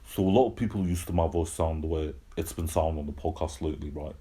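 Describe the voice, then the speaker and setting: A man's voice: extremely low pitch, fast, mellow. A man playing a mild, hesitant Mancunian podcast co-host, chatting casually. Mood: earnest, defensive. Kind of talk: comic casual chat.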